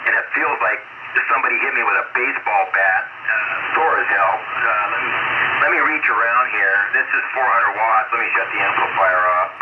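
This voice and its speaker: A man chatting casually as an amateur radio operator, in a rambling, halting way, heard over a shortwave radio transmission. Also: lowish voice. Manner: rambling, halting, self-interrupting. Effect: shortwave ham radio transmission. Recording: very noisy, muffled shortwave radio signal, static. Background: loud radio static.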